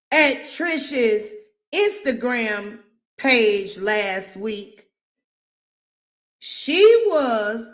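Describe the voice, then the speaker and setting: A woman's voice: fairly high pitch, fairly bright tone. A woman who stutters, hosting a live recap of a reality show with emphatic, preachy commentary. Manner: emphatic and preachy, drawn-out stresses.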